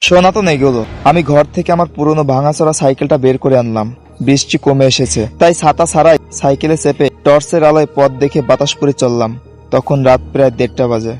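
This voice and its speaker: A man's voice: a little high, fast talker, a little mellow, faint music behind, natural voice. A man acting a troubled village doctor who narrates his own ghost story in a scripted, suspenseful horror style. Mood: grave, suspenseful.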